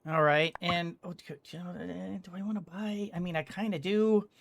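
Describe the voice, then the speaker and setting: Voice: mid-range voice, steady tone, normal speed, vocal fry, noisy background. A video game streamer giving casual commentary, thinking aloud in a hesitant, rambling way.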